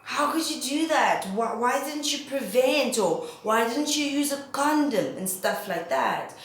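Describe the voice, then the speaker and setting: A woman's voice: high voice, lively intonation, unhurried, heavy reverb, a little hiss or hum. A woman vlogger, nasal from a cold, giving candid opinionated commentary full of rhetorical questions.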